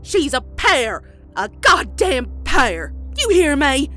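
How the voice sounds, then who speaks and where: A woman voicing a stern, bitter old farm grandmother pony in a comic dub, with a Southern US accent and folksy, deliberate delivery. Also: sits high, sing-song, pushed and bright, exaggerated voice. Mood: furious, scolding. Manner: folksy, slow, deliberate storytelling. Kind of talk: dramatic character acting in comic dub. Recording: dramatic music behind.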